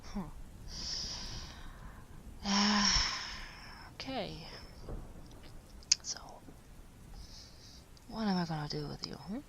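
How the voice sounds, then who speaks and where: Caring late-night writer partner played by a woman, soft and breathy with some creak, slow hesitant murmur, sleep-aid audio roleplay. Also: fairly low pitch, slight background noise, heavily acted. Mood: gentle, reassuring. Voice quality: breathy, half-whispered. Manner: gentle, hesitant, unhurried murmuring.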